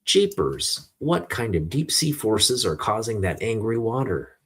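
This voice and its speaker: A man's voice: on the low side, big pitch swings, a little slow, a bit bright, breathy edge, pitched above the performer's own voice, exaggerated voice. A man voicing an eager, daring young cub reporter with boyish, exclamatory wonder as he reads a comic book aloud. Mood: amazed.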